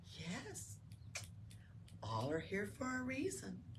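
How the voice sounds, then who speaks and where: A woman voicing a gentle young storybook mother in a soft, hushed, wondering way. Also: mid-range voice, wildly swooping pitch, takes it slowly, voice pushed up. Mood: tender. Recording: distant room mic with background noise.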